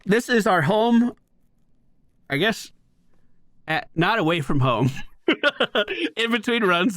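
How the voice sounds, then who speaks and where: A man's voice: on the high side, fairly bright tone, no room sound. A man streaming a video game with casual, chatty commentary, explaining things with playful slang.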